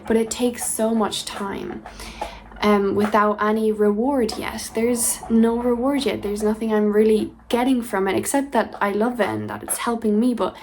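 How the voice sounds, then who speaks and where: Reflective young woman rambling softly. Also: on the high side, normal speed, slight background noise. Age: 20s. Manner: reflective, rambling with many fillers and restarts. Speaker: diary vlogger. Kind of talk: casual personal diary talk.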